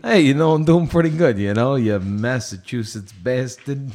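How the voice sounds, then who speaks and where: A man's voice: medium pitch, moderate pace, darkish tone. A man hosting a podcast with casual, teasing sports banter. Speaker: podcast host.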